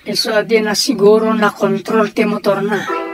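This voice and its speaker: A man's voice: sits high, level tone, moderate pace, lightly acted. A man acting in an Ilocano comedy radio drama, with an Ilocano Filipino accent. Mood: conversational. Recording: music bed behind the voices.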